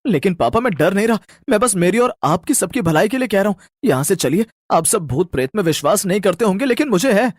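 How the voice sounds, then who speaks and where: Man as a frightened, animated college-age son. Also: high-pitched, fast talker, hardly any pauses, no room sound, clean studio sound. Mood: pleading insistence. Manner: anxious, stammering repeats.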